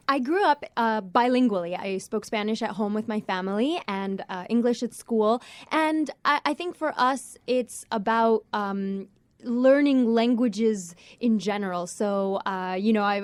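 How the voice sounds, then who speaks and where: Thoughtful woman with a smooth voice. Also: mid-range voice, dry recording. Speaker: children's musician, guest. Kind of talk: casual interview talk.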